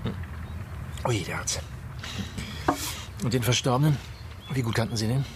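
Actor as a probing, dry police inspector, background noise. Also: male voice, medium pitch, animated, lightly acted. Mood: musing, suspicious. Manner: deliberate, questioning, musing with small hums. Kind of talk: German radio crime drama acting.

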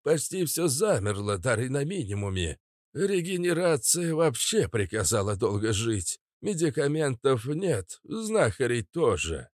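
A hoarse, shabby man with an actor's airs, from an audiobook tale and acted out by a male reader. He speaks courteously and theatrically, in a dry, close recording. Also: medium pitch, big pitch swings, unhurried, a little mellow, no echo, pitched above the performer's own voice. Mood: bitter.

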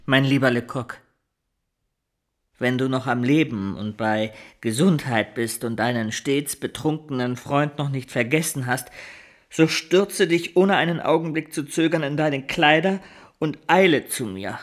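A man's voice: mid-range voice, unhurried, lightly acted. An actor playing a man reading a letter aloud in a radio drama.

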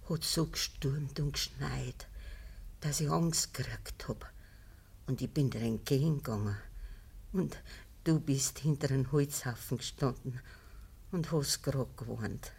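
An actress playing an ailing old peasant mother in a radio play, with a breathy, frail voice, a Bavarian accent and a halting, pleading delivery. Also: low voice, darkish tone, full character voice. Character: gravely ill old peasant mother, pleading, gentle, pious. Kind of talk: naturalistic dialect radio drama acting.

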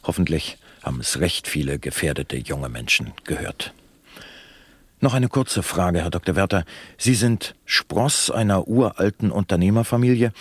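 An actor playing a slick, unctuous talk show host in a satirical radio play, flattering and theatrically solemn. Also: male voice, low-pitched, husky, no echo, heavily acted. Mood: solemn admiration. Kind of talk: satirical radio play acting as broadcast presenter.

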